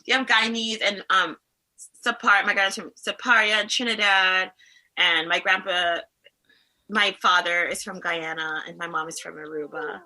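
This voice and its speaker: A woman in her forties from the Caribbean, chatting as a podcast guest in a rambling, animated way. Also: fairly low pitch, moderate pace, rough recording. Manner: rambling, animated, acts out other voices in her stories. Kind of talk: casual podcast conversation.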